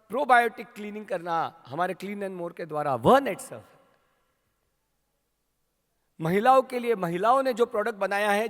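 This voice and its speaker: A man with an Indian accent giving a rousing motivational speech to his company's sales team, asking the crowd questions and building to shouts. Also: high voice. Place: large hall at a training event. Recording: long hall reverb.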